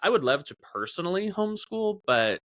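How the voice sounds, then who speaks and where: A man's voice: high voice, sing-song, a little fast, fairly bright tone, no room sound. A man in his thirties chatting casually as a podcast host.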